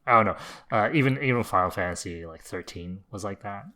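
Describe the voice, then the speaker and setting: A man's voice: on the low side, expressive, a little fast, bright, forceful tone. A man co-hosting a podcast, reasoning through his points in casual, conversational debate. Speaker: podcast co-host. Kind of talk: casual debate about video games.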